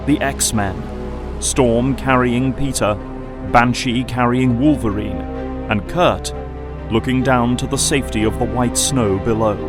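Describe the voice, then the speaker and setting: A man's voice: medium pitch, fairly even intonation, slow pace, over music. A man delivering dramatic scripted narration for an audio drama.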